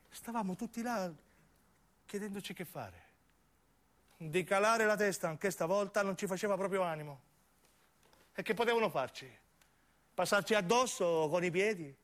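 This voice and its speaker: Man, southern Italian accent, radio theatre monologue, dramatic storyteller, highly varied intonation, slightly high voice. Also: fairly bright tone. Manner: dramatic, shifting between intimate narration and acted dialogue. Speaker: stage actor and narrator.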